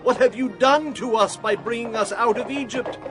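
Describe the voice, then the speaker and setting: A man's voice: very high voice, moderate pace. A man playing a frightened Israelite who complains bitterly and accusingly in a dramatized scripture audio drama. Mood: fearful, accusing. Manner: accusing, agitated. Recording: dramatic music behind voice.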